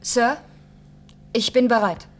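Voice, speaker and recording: Slow-spoken, brave, composed woman. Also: on the high side. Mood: resolved. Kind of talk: science-fiction radio play acting.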